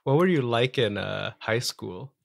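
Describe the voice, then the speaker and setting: A young man hosting a casual interview on a video call with earphones, relaxed and friendly in his asides. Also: medium pitch, a little slow, gravelly, no echo, clean studio sound. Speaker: interview host.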